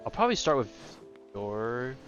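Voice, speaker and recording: A man's voice: mid-range voice, sing-song, unhurried, highs cut off, over music. A man giving casual live gameplay commentary as a streamer, rambling and offhand. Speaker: game streamer.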